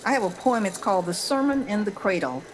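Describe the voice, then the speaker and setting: A woman's voice: medium pitch, expressive, fast. A woman speaking as a panelist in a casual discussion, sometimes reading aloud. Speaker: panelist on a holiday discussion show.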